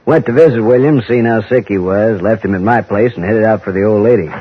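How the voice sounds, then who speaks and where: A man's voice: on the low side, fairly quick, natural voice. A man playing a brusque American frontier sheriff in a radio western, talking in a rustic, matter-of-fact way. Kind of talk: old-time radio western acting. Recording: dull vintage radio recording.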